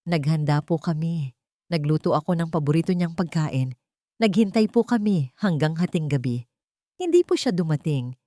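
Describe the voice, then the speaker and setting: A woman with a Filipino accent voicing a wounded wife who testifies politely and coldly in a restrained near-monotone. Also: deep voice, moderate pace, no echo, studio quality. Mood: cold, restrained hurt.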